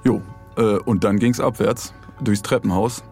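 A man's voice: on the low side, fairly even intonation, measured pace, darkish tone, soft background music. A man co-hosting a podcast in casual banter. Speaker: podcast co-host.

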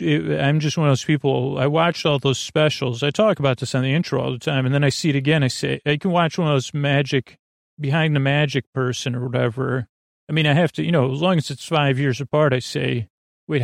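A man with a creaky voice hosting a podcast in a rambling, halting, casual monologue that keeps trailing off and circling back. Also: on the high side, no echo, clean studio sound. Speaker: podcast host.

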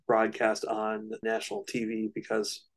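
Male podcast co-host, flat intonation, lowish pitch, informal explainer in relaxed conversational phrasing. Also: measured pace. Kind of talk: casual podcast discussion.

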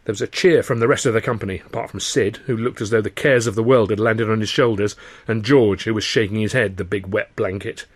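A man's voice: fairly low pitch, fairly even intonation, brisk. A man narrating his own comic novel as an audiobook, in a wry storytelling manner. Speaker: author and narrator.

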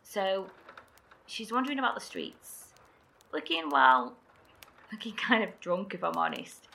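Slow gravelly woman survivor. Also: medium pitch, sharp tone, heavily acted. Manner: storytelling, hesitant, sighing. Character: woman survivor recounting eerie night. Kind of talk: audio drama acting.

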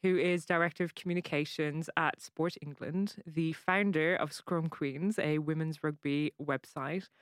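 A woman with a Cork Irish accent chats on a podcast in a relaxed storytelling way, dropping swear words. Her voice is smooth and clear. The sound is close and dry. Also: low-pitched, measured pace, hardly any pauses, no room sound. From Ireland. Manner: chatty, swears freely, tells stories. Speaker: podcast co-host. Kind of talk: casual chat.

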